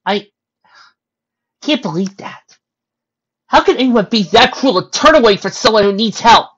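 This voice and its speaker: Earnest young female pony, voiced by a woman, emphatic, very lively pitch, easily indignant, storybook reading. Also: normal speed, husky, highs cut off. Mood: disbelieving. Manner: emphatic, sincere.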